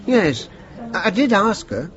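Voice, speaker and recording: A man's voice: high-pitched, sing-song, measured pace, fairly bright tone, exaggerated voice. An actor playing a plain-spoken, loyal middle-aged chauffeur and father with a southern English working-class accent, in a radio drama. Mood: polite, deferential. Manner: deferential to employer, firm with son. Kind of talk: British radio drama acting. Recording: muffled, noisy vintage radio recording.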